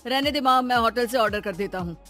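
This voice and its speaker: एक महिला हिंदी कहानी में बेसब्र, रूखे जवान बेटे का किरदार निभा रही है, झिड़कने और टालने वाले लहजे में।. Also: female voice, fairly high pitch, quick pace, fairly bright tone, soft background music, lightly acted. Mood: hurried, impatient. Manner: curt, scolding, exasperated. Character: grown son, impatient, dismissive, cold toward his mother. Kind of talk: Hindi moral story dramatisation.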